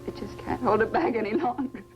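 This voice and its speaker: An actress playing a grieving, overwhelmed young woman in a 1950s science-fiction film, halting and emotional. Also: on the high side, animated, lightly acted, low-quality recording. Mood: grieving, distraught. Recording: 1950s film soundtrack under loud music.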